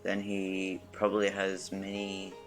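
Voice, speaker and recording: A game streamer giving casual, halting, muttered commentary during play. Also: low-pitched, completely flat delivery, music in the background, rough recording.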